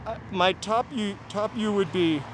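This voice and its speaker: A man's voice: high-pitched, measured pace. Chatty New man over faint street noise. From New Hampshire, USA. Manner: rambling, chatty asides to live viewers. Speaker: walking-tour livestream host. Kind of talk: casual chat while walking. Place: outdoors on city streets, walking. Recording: outdoor street noise, passing vehicles. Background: traffic and urban ambience.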